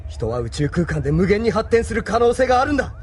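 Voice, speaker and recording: A man's voice: high-pitched, very animated, fast, slight background noise. A man playing an earnest heroic young mech pilot in a sci-fi audio drama, urgent and impassioned. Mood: indignant, impassioned.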